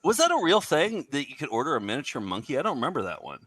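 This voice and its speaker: Animated bright higher-voiced man. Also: rapid-fire, no echo. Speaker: show regular. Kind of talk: casual comedic banter.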